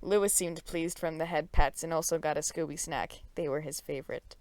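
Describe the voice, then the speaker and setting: A woman's voice: sits low, steady tone, brisk, a little mellow, dry recording. A woman reading a comic story aloud in a mock-dramatic, theatrical and playfully emphatic way.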